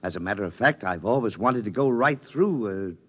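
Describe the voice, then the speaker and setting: A bumbling wistful president, lowish voice, stammers and repeats himself, old radio play. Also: male voice, expressive, fairly quick, breathy edge, muffled recording. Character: fumbling, wistful, deferential male president. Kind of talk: 1950s radio drama acting.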